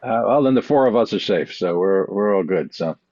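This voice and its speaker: A middle-aged man chatting casually on a podcast in a halting, rambling way. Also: fairly low pitch, expressive, dark tone, muffled recording.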